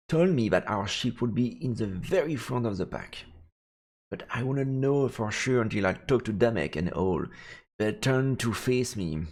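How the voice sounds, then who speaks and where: Low, gravelly man reading aloud. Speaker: let's play streamer. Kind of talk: reading visual novel narration aloud. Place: indoors, streaming setup. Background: faint game music.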